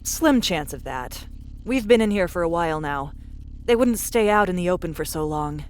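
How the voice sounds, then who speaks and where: A woman's voice: lowish voice, lively intonation, moderate pace, lightly acted. A woman playing a tall, confident, pragmatic young adventurer in a dramatised science fiction audiobook, speaking in a matter-of-fact, dryly assured way. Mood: wry, calm.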